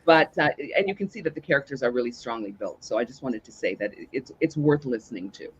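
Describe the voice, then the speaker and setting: A husky-voiced man speaking as a cast member in an interview, earnest and hesitant as he searches for words, on a lo-fi recording. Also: medium pitch, animated, fast talker. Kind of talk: conversational interview talk. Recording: dull lo-fi connection.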